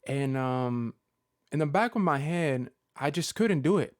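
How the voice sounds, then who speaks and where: A man in his thirties giving a personal vlog update to his viewers, talking in a halting way with many fillers and restarts. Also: a little high, lively intonation, no echo, studio quality. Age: 30s. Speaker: Pokemon content creator.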